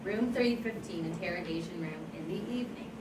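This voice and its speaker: A woman's voice: lowish voice, fairly quick, recorded from a distance. A woman reading scripted stage directions as narrator at a table read. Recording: very noisy, echoey room from afar.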